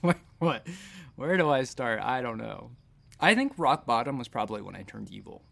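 An actor playing a troubled space captain in therapy, rambling, self-deprecating and sardonic in a naturalistic audio drama style. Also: male voice, medium pitch, big pitch swings, moderate pace, creaky, fairly bright tone, full character voice. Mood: rueful, joking.

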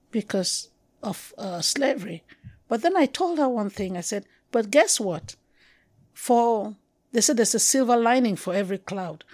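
A middle-aged Cameroonian woman speaks in a reflective, halting way, often restarting and drawing out key words. Her voice sits in an ordinary middle range. The recording is close and dry, with no room sound. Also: mid-range voice, no echo. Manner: reflective, halting, with restarts and slow emphatic stretches. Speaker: guest poet. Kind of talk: conversational interview.

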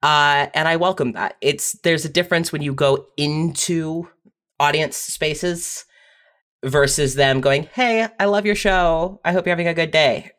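Audio fiction writer, middle register, conversational, reflective, halting delivery with emphatic rephrasing. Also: mid-range voice, a bit bright. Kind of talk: casual, reflective panel discussion.

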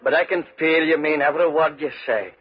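A man's voice: fairly high pitch, brisk, close to an everyday voice. An actor playing a humble, uneasy tenant farmer who tells his tale in earnest, folksy 1940s radio style. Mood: pleading, reluctant. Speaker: narrator and lead character. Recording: muffled, noisy 1940s radio recording.

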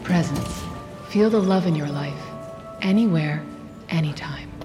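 An actress playing a poised corporate announcer, measured and dramatic, in an advertisement echoing through a large hall. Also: female voice, low voice, over music. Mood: poised, promotional. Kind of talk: scripted advertisement narration. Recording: echoey large hall with long reverb.

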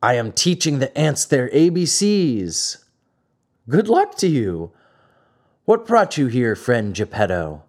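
A man's voice: mid-range voice, very animated, slow, a little mellow, full character voice. A man as a surly old storybook carpenter. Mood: dry, weary. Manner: surly, defensive. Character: old male carpenter, surly but friendly, weary.

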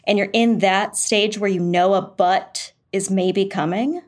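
A woman's voice: medium pitch, steady tone, measured pace. A woman author being interviewed, talking thoughtfully and candidly, searching for her words. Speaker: author and guest. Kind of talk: conversational interview.

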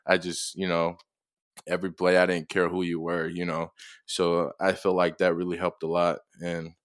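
A man's voice: low-pitched, monotone, moderate pace, clear, resonant tone, dry recording, clean studio sound. A young man from Tonga giving casual, somewhat hesitant interview answers as a college football player. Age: young adult.

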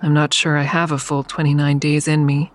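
A woman's voice: low voice, little pitch movement, fairly quick, lightly acted. An actress in an audio drama playing an exhausted, defiant woman who tells of her ordeal in a grim, blunt way. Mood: tense, bleak. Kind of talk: first-person dramatic narration in audio drama.

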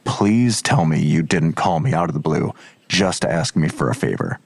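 An actor playing a weary, sardonic police detective in an audio drama, with a dry, blunt, skeptical delivery. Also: male voice, rumbling low, very animated, moderate pace, gravelly, slightly rough, no echo, exaggerated voice. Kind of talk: naturalistic audio drama acting.

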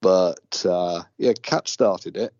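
A man's voice: sits low, slow pace, darkish tone, dry recording. A man from the UK co-hosting a casual radio show, dry and laid-back. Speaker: radio co-host. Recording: dull lo-fi dry audio.

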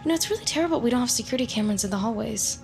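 A woman's voice: a little high, animated, racing, gravelly, over music. A woman playing a nervous, chatty, awkward neighbor in an audio drama, hesitant and rambling in an earnest way. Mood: nervously chatty.

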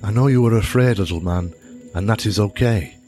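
A man acting in an audio drama as a gentle, worried father who soothes his young son tenderly and earnestly. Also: on the low side, fairly quick, soft background music, lightly acted.

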